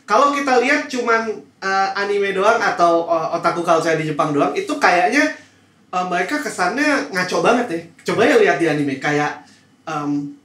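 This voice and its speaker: A man's voice: sits high, expressive, moderate pace, a bit bright, faint music behind. A young Indonesian man with a Jakarta accent, explaining his views casually and hesitantly, mixing in English phrases. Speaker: podcast panelist. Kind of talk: casual opinionated discussion. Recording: roomy echo.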